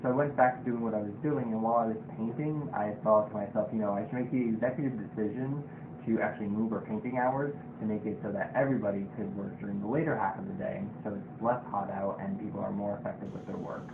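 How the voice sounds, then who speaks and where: Young male candidate, talkative, fast, tinny and roomy recording. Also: on the low side, fairly even intonation. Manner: talkative, uses "you know" often. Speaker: job candidate in a role-play. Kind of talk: casual role-play. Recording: tinny, noisy, echoey.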